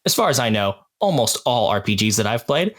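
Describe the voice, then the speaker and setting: A man's voice: mid-range voice, sing-song, fairly quick, fairly bright tone. A man speaks in an ordinary middle register. He explains his thinking in a casual, thoughtful way, as an experienced player talking to fellow cast members. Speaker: podcast cast member, experienced game master. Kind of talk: casual discussion.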